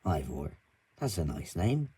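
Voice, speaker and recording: A man voicing a kind, polite male steam engine who asks gentle, curious questions. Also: sits low, big pitch swings, a little slow, breathy edge, exaggerated voice.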